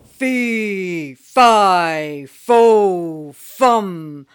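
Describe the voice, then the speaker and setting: A woman voicing a huge, greedy male giant who growls his threats slowly and loudly in a storybook reading. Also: lively intonation, smooth. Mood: booming. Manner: slow, booming, growling chant.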